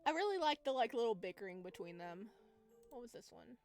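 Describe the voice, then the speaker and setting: A woman's voice: a little high, big pitch swings, brisk, faint music behind. A woman hosting a gaming video, giving casual, gushing, enthusiastic let's-play commentary.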